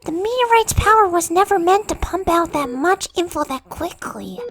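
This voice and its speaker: A woman's voice: extremely high pitch, expressive, moderate pace, gravelly, a bit bright, full character voice. A woman playing a small, cute young animal character in an audiobook. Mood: reassuring.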